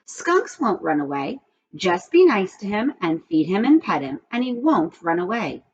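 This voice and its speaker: A woman's voice: mid-range voice, sing-song, normal speed, darkish tone, breathy edge, dull audio. A woman voicing a patient woman schoolteacher from a children's storybook, reassuring and coaxing.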